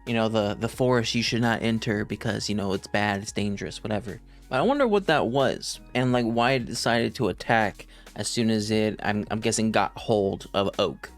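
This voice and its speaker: A man's voice: on the low side, normal speed, hardly any pauses, faint music behind. A young adult man giving casual, unscripted reaction commentary as host of a video.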